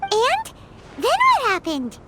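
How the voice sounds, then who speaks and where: A woman voicing a small childlike fairy companion in a video game, squeaky, curious and caring, with eager exclamations. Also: wildly swooping pitch, takes it slowly, heavy background noise, full character voice. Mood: excited, curious. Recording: loud background music. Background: loud game music.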